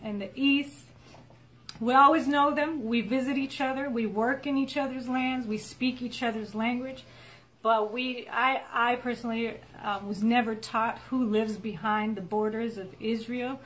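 A woman's voice: a little high, fairly even intonation, a little slow, fairly bright tone, rough recording. A woman in her forties from Egypt, a guest telling her story in casual chat, earnest, with frequent restarts. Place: small indoor room with a wall chart.

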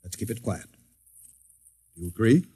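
A commanding boss in a 1950s radio drama. The voice is fairly low and a bit raspy. He speaks formally, with brusque, sceptical authority. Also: expressive, moderate pace, mellow. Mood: guarded.

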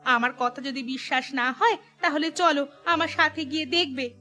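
A woman plays an anxious village housewife in a children's cartoon. The voice is extremely high and piercingly bright, delivered in dramatic exclamations. Music plays behind it. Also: moderate pace. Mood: urgent. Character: anxious village wife of a gourd farmer.

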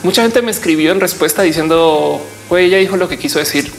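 A woman's voice: on the low side, fast talker. A woman in her thirties chatting casually to camera as a YouTuber, informal and reflective, wandering off on tangents. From Colombia. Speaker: YouTuber host answering viewer questions. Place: indoors, small office.

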